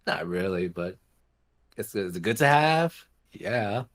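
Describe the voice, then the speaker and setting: A man with a deep, low-pitched voice talks casually as a co-host on a gaming show. He weighs in conversationally, as part of a relaxed group discussion. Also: slow pace.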